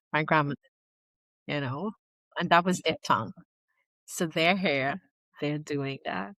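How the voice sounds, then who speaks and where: A woman from Trinidad and Tobago hosts the conversation, reflecting back her guest's words and asking open questions. Her voice sits fairly low and sounds close and dry, with no room around it. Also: fairly bright tone, dry recording. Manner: affirming, lyrical, encouraging. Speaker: interviewer host. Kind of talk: warm interview conversation.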